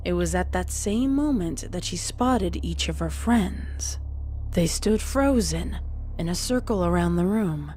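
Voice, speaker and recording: A female narrator reads a scripted dramatic story. Her voice sits on the low side, with soft music playing faintly behind it. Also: expressive, a little slow.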